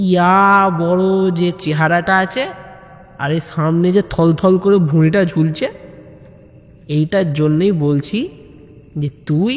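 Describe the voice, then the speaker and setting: Man playing condescending neighbourhood tease, high-pitched. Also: close to an everyday voice. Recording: long hall reverb, faint background noise.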